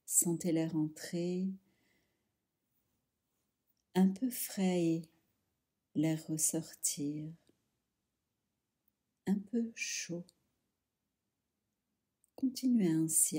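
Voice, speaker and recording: Slow, mellow woman guiding relaxation. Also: deep voice, breathy edge. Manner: soothing, hushed, instructing in short phrases with long pauses. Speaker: yoga nidra instructor. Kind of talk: guided relaxation meditation.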